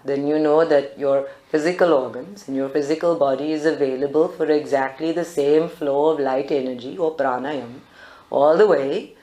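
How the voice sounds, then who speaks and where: A woman with a low-pitched voice leads a guided meditation. Her delivery is calm, deliberate and instructive. The live recording sounds very roomy. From South Africa. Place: indoor group meditation room.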